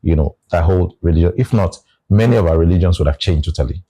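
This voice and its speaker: A Nigerian man talking through his views in a relaxed conversation, often restarting his phrases. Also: deep voice, fast talker, slightly rough. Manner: reflective, restarts phrases, explains with examples. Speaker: guest. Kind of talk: casual conversation.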